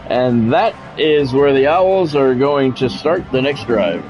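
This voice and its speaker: A man doing measured, broadcast-style football play-by-play over a video game, in clipped phrases with casual gamer asides. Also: mid-range voice, expressive, a little mellow, soft background music, poor audio.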